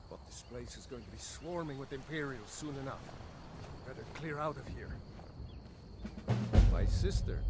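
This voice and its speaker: A man's voice: medium pitch, natural voice. Man acting as earnest rebel soldier, game music behind. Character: rugged male rebel soldier guiding an escapee, earnest and loyal. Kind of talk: scripted fantasy game dialogue. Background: game soundtrack music.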